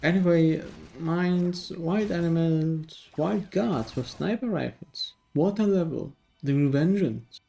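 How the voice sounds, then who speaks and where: A man narrates a scripted game review with dry sarcasm. His voice sits slightly high for a man and has a mellow, relaxed tone. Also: slow pace, gravelly, hardly any pauses. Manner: dry, sardonic, sweary.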